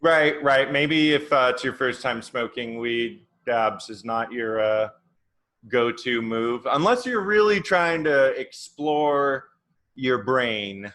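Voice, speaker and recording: A man of thirty from Oregon, a podcast guest, chatting in a relaxed, rambling way with many fillers. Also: medium pitch, slow pace. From Oregon, USA. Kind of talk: casual chat.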